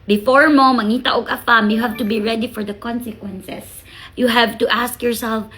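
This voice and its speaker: A woman's voice: fairly high pitch, steady tone, normal speed, a bit bright, low-quality recording. A woman from the Philippines with a Bisaya Filipino accent, chatting casually as a vlogger and giving frank, emphatic advice with rhetorical questions and little tag words. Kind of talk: casual chat to camera, giving blunt advice.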